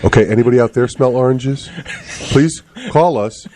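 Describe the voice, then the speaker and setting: A man's voice: mid-range voice, normal speed, darkish tone, a little hiss or hum. A man hosting a casual live radio chat in a jokey, playful manner. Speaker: radio show host.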